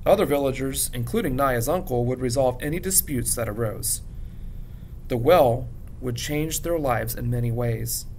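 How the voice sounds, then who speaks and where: Man reading a story over rumbling noise. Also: moderate pace, darkish tone. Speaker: teacher reading a book aloud to students. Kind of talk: audiobook-style narration of a children's novel. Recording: clear background rumble noise.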